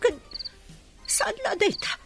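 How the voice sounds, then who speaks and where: A woman's voice: takes it slowly, music in the background, close to an everyday voice. A woman acting a blunt, motherly older village woman in an Ilocano radio drama, with an Ilocano Filipino accent. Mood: scolding.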